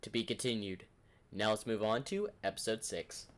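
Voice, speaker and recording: A man's voice: on the low side, sing-song, moderate pace, loud music behind the voice. A man hosting a reaction video with casual commentary, rambling as he thinks aloud.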